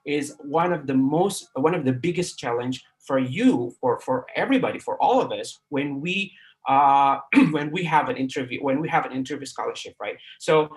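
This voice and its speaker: Man, middle-aged, Indonesian accent, Sundanese native speaker, online lecture, average pitch, frequent fillers, tag questions. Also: moderate pace, rough recording. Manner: many fillers and restarts, keeps asking "right?". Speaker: guest lecturer. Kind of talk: live online class talk.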